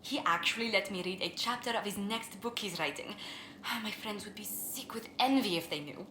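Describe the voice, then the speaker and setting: A woman playing a courteous, tactful court diplomat in a fantasy game, speaking in a polite, measured, formal way. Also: mid-range voice, sharp tone, lightly acted. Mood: pleased. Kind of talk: scripted game dialogue. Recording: echoey room, clearly noisy game capture.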